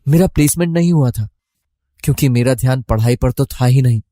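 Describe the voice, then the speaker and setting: A man's voice: mid-range voice, a little fast, warm tone, dry recording, studio quality. A young man narrating a scripted first-person story as a college student.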